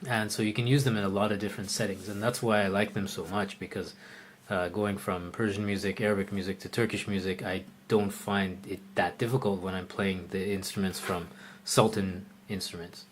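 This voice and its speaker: A man teaching the oud in a live online lesson, answering viewer questions in a relaxed, conversational way, thinking aloud with frequent fillers and restarts. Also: deep voice, fairly quick, slight background noise.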